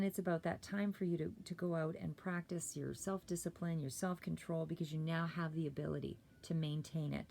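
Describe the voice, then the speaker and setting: A woman doing a casual, rambling tarot reading, a little hoarse and clearing her throat, with many fillers and restarts. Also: sits low, dark tone. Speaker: tarot reader.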